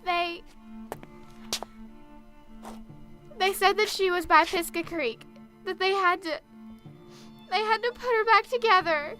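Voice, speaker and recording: A woman's voice: very high-pitched, moderate pace, pushed and bright, faint music behind. A woman playing a grieving young college student who speaks in a raw, bitter, on-edge way. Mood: grief-stricken. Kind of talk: amateur radio drama acting.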